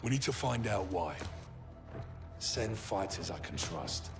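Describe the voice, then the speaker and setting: An actor playing a grim, terse special forces operative in a game, speaking in a hushed, terse way. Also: male voice, low-pitched, lively intonation, takes it slowly, soft background music, exaggerated voice. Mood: grim, urgent.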